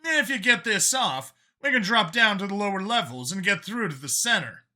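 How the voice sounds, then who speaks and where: An eager, knowing young-sounding male ally, played by a male narrator. The voice is raised well up and bright, earnestly explaining in audiobook style. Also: sits high, animated, normal speed, pitched above the performer's own voice, full character voice. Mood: eager, confiding.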